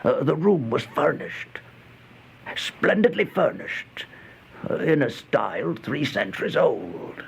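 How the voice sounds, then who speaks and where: Haunted, gravelly, slow-spoken vagrant. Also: male voice, fairly high pitch, big pitch swings, heavily acted. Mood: exhausted, haunted. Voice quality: gravelly, breathy, dropping to near-whispers. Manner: halting, slow, theatrical, anguished. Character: exhausted, starving, haunted homeless man recounting a horror tale. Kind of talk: old-time radio horror monologue. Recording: noisy old radio recording.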